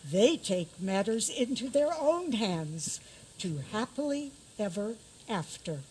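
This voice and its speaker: A woman reads scripted storybook narration for a radio play. The pace is slow and the pitch sits in the middle, with faint noise in the recording. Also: very animated.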